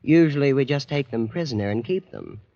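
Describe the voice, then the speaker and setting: An actor playing a blasé, boastful young American heir in a vintage radio drama, nonchalant and smug. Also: male voice, mid-range voice, expressive, brisk, vocal fry, mellow, no room sound. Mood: smug, casual. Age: young adult. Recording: muffled vintage radio recording.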